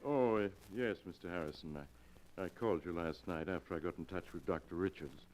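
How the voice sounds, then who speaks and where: An actor playing a halting, deep-voiced doctor. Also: male voice, darkish tone, a little hiss or hum, lightly acted. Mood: hesitant. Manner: hesitant, halting, full of "uh"s. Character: hesitant middle-aged male doctor. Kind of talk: 1940s radio acting.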